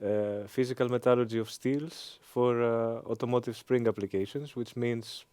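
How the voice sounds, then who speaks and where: Flat-voiced Greek-accented man. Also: mid-range voice, normal speed, clean tone, no room sound. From Greece. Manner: hesitant, frequent uh fillers. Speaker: interview guest, engineering professor. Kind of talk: conversational interview answers.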